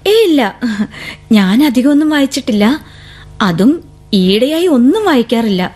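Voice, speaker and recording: An actress in a radio drama playing a gentle, earnest young woman who is an eldest sister. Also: on the high side, lively intonation, moderate pace, breathy edge. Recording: radio drama with faint music.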